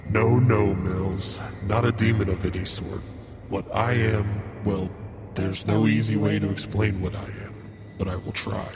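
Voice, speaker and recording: A man voicing a calm, knowing otherworldly spirit in a horror story, speaking in a slow, deliberate way. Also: on the low side, pitched below the performer's own voice. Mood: patient, explaining. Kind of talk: scripted horror story dialogue. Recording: loud music behind the voice.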